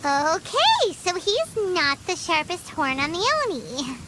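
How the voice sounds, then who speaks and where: A woman's voice: very high voice, big pitch swings, slow pace, very noisy, heavily acted. A woman voicing a tiny floating fairy-like companion who talks about herself in the third person, cheeky and blunt. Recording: game soundtrack with music behind. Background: music.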